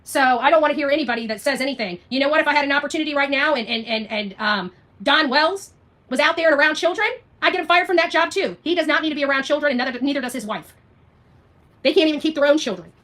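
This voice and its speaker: A woman with a high, bright voice delivers an unscripted livestream rant. She talks quickly and combatively, leaning on repeated phrases and pointed rhetorical questions as she answers her viewers. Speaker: livestream host responding to viewer comments.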